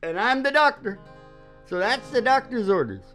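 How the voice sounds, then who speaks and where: A man's voice: very high-pitched, big pitch swings, normal speed, pushed and bright, soft background music. A man hosting a casual philosophical chat, talking in a rambling, folksy, preachy way and often checking that he is agreed with. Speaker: host.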